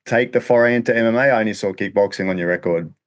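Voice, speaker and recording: A man with a fairly low voice hosts a casual interview. He talks quickly and informally, putting questions and remarks in a matey, slangy style.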